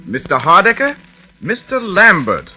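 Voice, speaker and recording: A man's voice: high voice, huge pitch swings, heavily acted. An old radio recording with music behind. a stately butler, formal and ceremonious, his raised voice swooping widely as he announces people. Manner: ceremonious, drawn-out calls. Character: formal manservant announcing guests in ceremonious calls. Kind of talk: 1940s radio drama acting.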